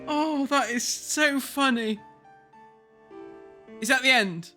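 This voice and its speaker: A man's voice: very high voice, slow pace, sharp tone, faint music behind. A man co-hosting a casual film commentary, sweary, mocking and giggly. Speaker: podcast co-host.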